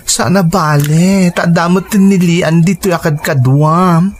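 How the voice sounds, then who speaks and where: An actor playing a busy, firm and reassuring partner in an Ilocano radio drama, talking insistently. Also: male voice, sits high, steady tone, unhurried, slight background noise.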